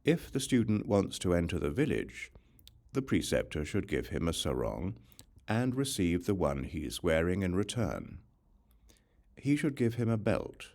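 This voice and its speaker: A man reading a religious text as an audiobook narrator, in measured, instructional short phrases. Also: low voice, big pitch swings, creaky, a little mellow.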